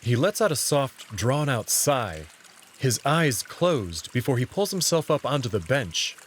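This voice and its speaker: A man reads first-person story narration for a visual novel. His mid-pitched voice moves expressively, and there is a faint sound of running water behind it. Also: sing-song, measured pace, slight background noise.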